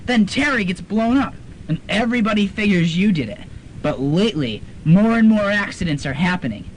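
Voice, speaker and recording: Excitable student, male actor: dramatic, high-pitched, lively delivery, amateur radio play with light noise. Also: brisk, poor audio. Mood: urgent, ominous.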